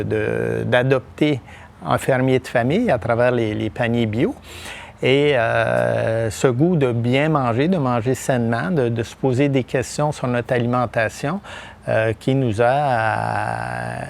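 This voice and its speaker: A middle-aged man from Quebec with a Québécois accent, talking as a podcast guest, halting often with fillers and restarts. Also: mid-range voice, vocal fry, slight background noise. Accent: Québécois French. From Quebec, Témiscamingue. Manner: hesitant, many fillers and restarts. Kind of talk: podcast interview.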